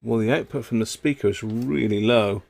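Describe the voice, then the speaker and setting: A man's voice: lowish voice, moderate pace, gravelly, a little mellow. A man hosting a live stream, muttering to himself as he repairs an old radio. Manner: muttering to himself while tinkering. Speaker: radio hobbyist host.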